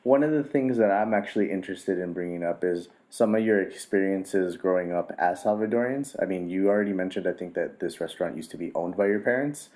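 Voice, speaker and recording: Interviewer, very deep and mellow voice, asks probing questions slowly.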